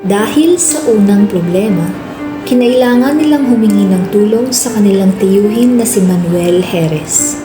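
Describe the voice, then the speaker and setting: A woman's voice: mid-range voice, moderate pace. Woman narrator over music in a roomy space. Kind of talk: scripted radio drama narration. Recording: roomy sound under clear music.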